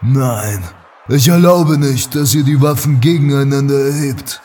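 An actor playing a stern, commanding male cult leader in a fan audio drama, speaking slowly and solemnly with a husky voice. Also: mid-range voice, darkish tone, distant mic, soft background music, close to an everyday voice. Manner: slow, solemn, declamatory.

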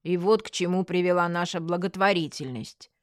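A woman voicing a meek male swindler, a mama's boy with a halting, falsely polite manner. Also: on the low side, steady tone, dry recording, clean studio sound, lightly acted. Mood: wounded innocence.

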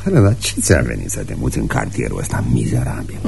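An actor playing a brash, boozy suitor in a radio play, cajoling and mocking with theatrical, drawn-out words. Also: male voice, rumbling low, big pitch swings, vocal fry, noisy background, full character voice. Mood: teasing.

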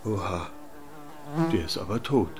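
A blustering village policeman in a radio play. His voice is low, and he talks bluntly and officiously. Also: measured pace. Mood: dry, official. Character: blustering village policeman, grown son bossing his mother. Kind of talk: radio drama acting.

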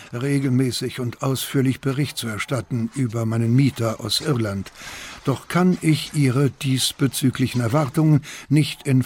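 A man's voice: mid-range voice, a little mellow, a little hiss or hum, natural voice. Mid-voiced, deferential landlord played by a man, faint ambience. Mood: reluctant, deferential. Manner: formal, apologetic, circumspect. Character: deferential, reluctant Parisian landlord writing to the prefect. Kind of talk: German radio play, letter monologue.

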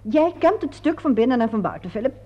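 A woman playing a practical peacemaker in a radio play, brisk, firm and matter-of-fact. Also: fairly high pitch, very animated, dark tone. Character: practical, calming woman taking charge of squabbling colleagues. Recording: dull lo-fi old radio recording.

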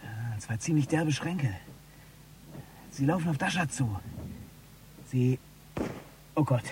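An actor playing an edgy, wary gangster who talks in rough slang in a German radio crime drama. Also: male voice, medium pitch, animated, slow pace, darkish tone, slight background noise, low-quality recording. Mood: tense, alarmed. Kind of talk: German radio play dialogue.

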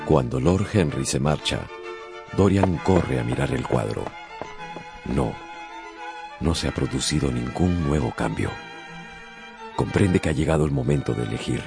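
A man narrating a radio adaptation of a novel in a solemn, theatrical style with deliberate pauses. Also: very deep voice, expressive, gravelly, music in the background. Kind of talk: dramatic scripted literary narration for radio.

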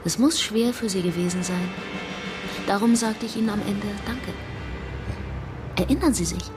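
Actress doing a mysterious blind young woman, teasing, self assured, radio drama with loud music behind. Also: medium pitch, moderate pace, lightly acted. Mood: quietly insistent.

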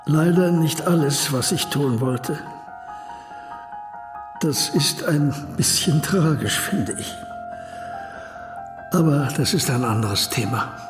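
An actor playing an elderly retired Chinese scholar in a radio drama monologue, speaking in a measured, reflective and contented way. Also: male voice, fairly high pitch. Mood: wistful, resigned. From China. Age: 80s. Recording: roomy echo, music behind.

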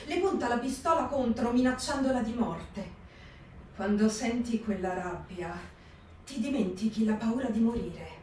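A woman giving a measured, intense dramatic reading for a radio broadcast. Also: on the high side, darkish tone, a little hiss or hum. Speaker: performer in a staged reading. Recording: echoey radio broadcast from far off.

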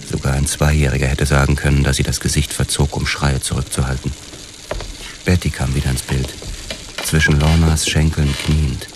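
A man's voice: rumbling low, background noise. A man playing a grim, haunted police detective who tells his own story tersely in a noir radio drama. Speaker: narrator and lead actor. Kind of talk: first-person noir radio drama narration. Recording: loud music behind the voice. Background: loud music.

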